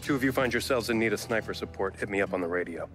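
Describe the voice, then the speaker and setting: An actor playing a crude, wisecracking bounty hunter in a video game cutscene, with a glib, mocking manner. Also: male voice, on the low side, very fast, lightly acted. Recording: loud music behind the voice. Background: loud game score.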